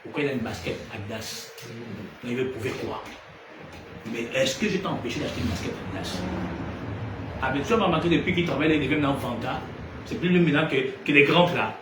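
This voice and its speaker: A man preaching a sermon in an animated way, rising to loud emphatic peaks and then dropping low. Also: fast talker, pauses often. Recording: echoey room from far off, noisy ambience.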